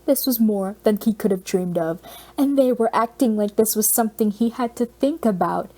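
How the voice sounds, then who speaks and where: A woman reading scripted fiction as an audiobook narrator, with expressive storytelling. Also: on the high side, moderate pace.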